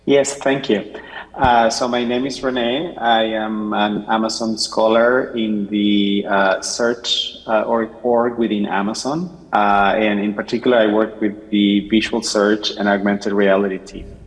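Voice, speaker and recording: A man from Chile, a professor, introducing himself briskly and formally on a conference panel. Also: fairly low pitch, flat delivery. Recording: reverberant hall.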